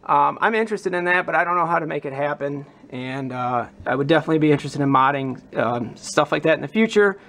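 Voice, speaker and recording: A man's voice: fairly high pitch, expressive, fast talker, hardly any pauses, a bit bright. A man presenting a prop modding demonstration in an informal, enthusiastic, casual explanatory way. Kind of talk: casual explanatory hobby vlog.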